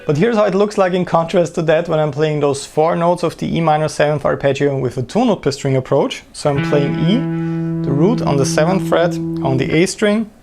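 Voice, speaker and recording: A man's voice: on the high side, a little fast, vocal fry. A man with an Austrian accent, teaching an online guitar lesson in an upbeat, encouraging way.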